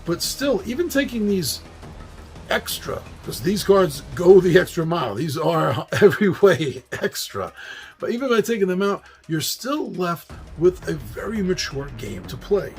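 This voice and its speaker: A man with a New York accent hosting a casual monologue about board games, chatty and quick to laugh at his own slips. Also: sits high, big pitch swings, faint music behind. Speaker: host.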